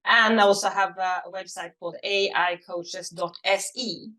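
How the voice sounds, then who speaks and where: A woman from Sweden with a Swedish accent, a native Swedish speaker, talking as a guest coach in a halting way, with many restarts and fillers. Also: medium pitch, fairly even intonation, bright, forceful tone. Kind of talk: casual interview.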